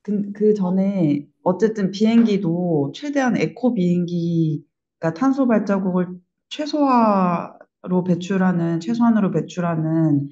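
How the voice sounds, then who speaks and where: A woman giving reflective interview answers as a member of a creative team, earnest and measured, in slightly muffled sound. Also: on the low side, lively intonation, warm tone.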